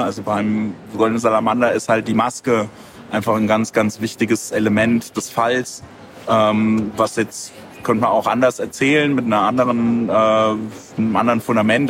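A man's voice: lowish voice, noisy background. A man being interviewed as a comic creator, talking casually and thinking aloud in loose, rambling chains of clauses.

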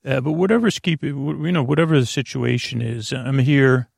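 Man, gravelly voice, wandering bedtime talk, stumbling restarts, dead dry sound. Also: medium pitch, brisk, no room sound. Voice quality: creaky. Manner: meandering, hesitant, many fillers and restarts. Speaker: sleep podcast host. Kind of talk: rambling bedtime monologue.

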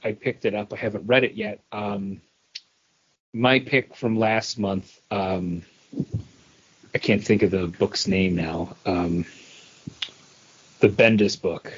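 A man's voice: fairly low pitch, fairly even intonation, slow pace, pauses often, breathy edge. A man co-hosting a podcast, talking in a rambling, enthusiastic fan's way in casual review chat. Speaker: podcast co-host. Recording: dull, lo-fi podcast audio.

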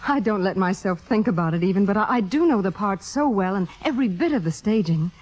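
A woman's voice: medium pitch, lively intonation, fast talker, darkish tone, close to an everyday voice, low-quality recording. Young woman, played by an actress, demure, earnest, breathlessly humble, middle register, 1950s radio acting. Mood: shyly eager.

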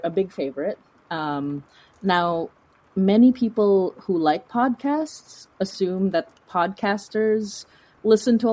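A woman's voice: lowish voice, darkish tone. A woman talking casually as an interview guest, hesitating and repeating words for emphasis. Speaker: podcast guest, creator.

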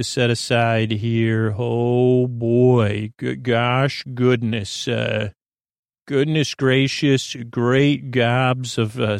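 A man hosting a bedtime podcast in a creaky, slightly rough-edged voice, meandering and halting as he gently soothes the listener. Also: mid-range voice, no room sound, clean studio sound. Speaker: podcast host. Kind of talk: rambling bedtime podcast monologue.

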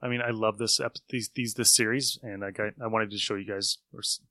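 A man's voice: fairly low pitch, steady tone, fairly quick, sharp tone, no room sound, studio quality. A man co-hosting a podcast, talking casually about old radio shows. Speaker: podcast co-host.

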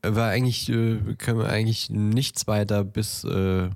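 A man's voice: lowish voice, steady tone, normal speed, no room sound, clean studio sound. A man co-hosting a podcast, chatting casually and informally with a teasing streak. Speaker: podcast co-host.

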